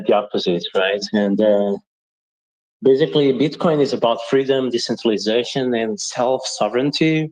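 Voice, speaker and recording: A man's voice: medium pitch, darkish tone, poor audio. A man from Portugal giving his opinions as a panel guest in an emphatic, assertive way.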